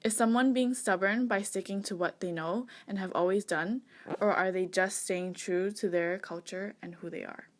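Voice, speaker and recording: A woman's voice: mid-range voice, fairly even intonation, moderate pace. A woman reading scripted documentary narration in a formal manner. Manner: read-aloud, formal.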